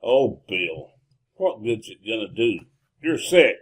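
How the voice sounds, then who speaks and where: An actor playing a gruff, worried cowboy sidekick in a radio western, with a husky voice and an American Western accent. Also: male voice, lowish voice, very animated, takes it slowly, exaggerated voice. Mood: urgent, guarded. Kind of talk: old-time radio western acting.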